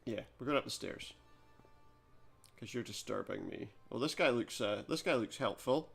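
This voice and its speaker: A man's voice: mid-range voice, lively intonation, moderate pace, vocal fry, a bit bright, slightly rough, faint music behind. A man giving casual let's play commentary on a game, with dry joking asides. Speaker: gaming video host.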